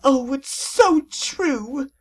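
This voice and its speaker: Woman putting on a vain sea serpent, shrill, wailing, drawn-out, melodramatic, storybook creature. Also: expressive, heavily acted. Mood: sobbing. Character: vain, weepy male sea serpent, huge and dramatic. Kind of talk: fan fiction read aloud.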